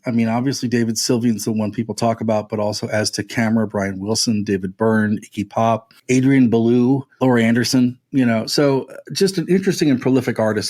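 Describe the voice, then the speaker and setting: A man's voice: mid-range voice, a little mellow. A man talking casually and at length as a music podcast panelist, with an enthusiastic, discursive manner.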